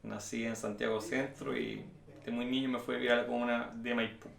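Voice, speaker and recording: A man's voice: medium pitch, flat delivery, brisk, creaky, fairly bright tone. A Chilean man answering interview questions about his work as a barista, hesitant and repeating words as he thinks. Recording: guitar music behind the voice.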